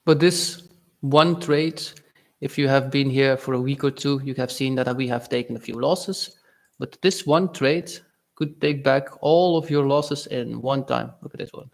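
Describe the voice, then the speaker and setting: A young man from Belgium talks through his trades on a live stream, reading chat aloud and pausing on fillers. His mid-range voice carries a gravelly, fry-tinged edge. The delivery is loose and conversational. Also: medium pitch. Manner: casual, halting, reads chat aloud with fillers. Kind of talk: live-stream trading commentary.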